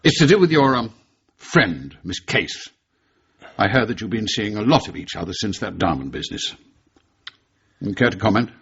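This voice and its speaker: An exasperated older English spymaster in a radio play. His low voice is very raspy and swings widely in pitch. He is authoritative and brusque, on a slightly muffled recording. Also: animated, normal speed, long pauses, fairly bright tone, heavily acted. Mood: awkward, paternal. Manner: brusque, hesitant on personal matters, authoritative. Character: gruff older male British spy chief, stern and exasperated. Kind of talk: radio drama acting.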